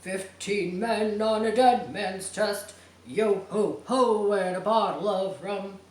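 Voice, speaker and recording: A woman voicing an eerie, taunting pirate ghost in a storybook reading, calling out in a drawn-out sing-song. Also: medium pitch, a little mellow, lightly acted. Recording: echoey room with faint background noise.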